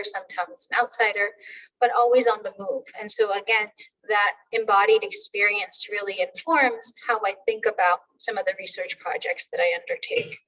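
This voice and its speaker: A woman's voice: mid-range voice, a bit bright. Middle-aged woman lecturing from a distance. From Turkey. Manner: measured, thoughtful, with frequent "um" fillers. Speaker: professor. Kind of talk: academic webinar talk.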